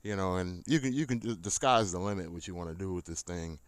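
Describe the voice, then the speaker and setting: A man's voice: low-pitched, a little fast, dry recording. An American man giving a casual, unscripted gear walkthrough as a hobby fisherman, rambling in a folksy, informal way into a tablet. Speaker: hobby kayak fisherman showing his gear. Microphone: handheld tablet, moving nearer and farther. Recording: noisy tablet audio, distance from mic shifting.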